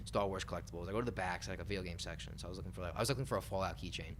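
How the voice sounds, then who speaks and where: A man's voice: low-pitched, rapid-fire, background noise. A young American man chatting casually as a podcast co-host. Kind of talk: casual chat about video games and pop culture.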